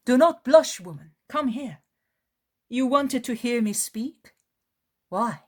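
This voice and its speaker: A calm, kindly male teacher, voiced by a woman reading aloud, speaks gently and evenly. The voice is a little raised and slightly husky. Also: a little high, voice pushed up. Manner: gentle, measured speech.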